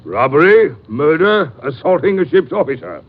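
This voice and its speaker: An actor playing a curt, commanding ship captain in a 1950s radio drama. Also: male voice, a little high, big pitch swings, moderate pace, heavily acted. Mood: stern. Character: stern middle-aged male ship captain. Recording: raspy narrow-band old radio recording.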